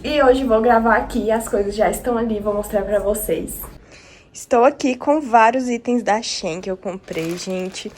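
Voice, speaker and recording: A young woman with a hoarse voice from a cold, chatting casually and affectionately to her viewers in a vlog. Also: fairly high pitch, moderate pace, a little mellow. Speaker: vlogger. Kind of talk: casual vlog talk to camera.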